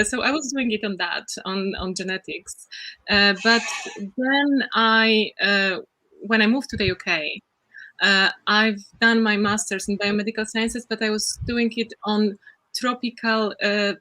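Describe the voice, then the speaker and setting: A woman's voice: medium pitch, pushed and bright, poor audio. A young woman from Poland, explaining her research as a guest over a video call, with frequent "uh" fillers. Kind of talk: casual interview talk.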